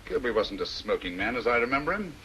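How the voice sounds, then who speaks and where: A faintly noisy vintage radio drama. an English detective, incisive and authoritative, with a bright, forceful voice that swings widely in pitch. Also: male voice, very animated, fast talker, lo-fi sound. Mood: shrewd, dry. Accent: British. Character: brisk, shrewd English gentleman detective. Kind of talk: 1940s radio mystery acting. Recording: slightly noisy old radio recording.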